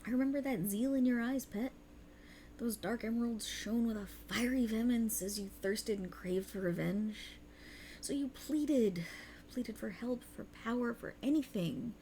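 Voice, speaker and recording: A woman's voice: a little high, vocal fry, mellow, a little hiss or hum, voice pushed up. A woman voicing a cruel, condescending otherworldly warlock patron in a theatrical, taunting ASMR roleplay, close to the microphone. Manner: taunting, theatrical, mock-affectionate, drawn-out emphasis. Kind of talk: ASMR roleplay, dramatic monologue.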